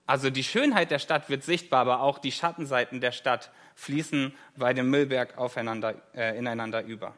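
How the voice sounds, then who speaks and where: A man's voice: medium pitch, a little fast, few pauses, a bit bright. A German man in his thirties, a guest preacher giving a conversational sermon with light self-deprecating humor, in a church.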